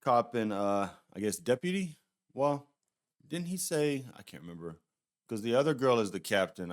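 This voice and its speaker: A man with a fairly low, smooth voice talks through a casual review. His delivery is conversational and unscripted, wandering from point to point and hedging as he goes. The tone is clean and resonant. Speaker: YouTube reviewer.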